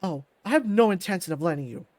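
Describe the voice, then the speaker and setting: A man voicing a confident old fighter who recruits with measured, self-assured speech in a visual novel. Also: high-pitched, lively intonation, a little mellow, no echo.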